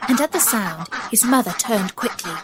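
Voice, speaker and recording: A woman narrating a scripted children's story in a measured, expressive manner. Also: fairly high pitch, very noisy.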